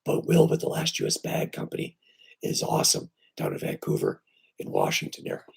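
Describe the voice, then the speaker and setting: A man with weak, breathy and raspy vocal cords, hoarse and short of breath, hosting a casual live stream from home on his computer and talking haltingly with many fillers. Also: extremely low pitch. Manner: halting, with many fillers and restarts, lively when greeting viewers. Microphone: computer microphone.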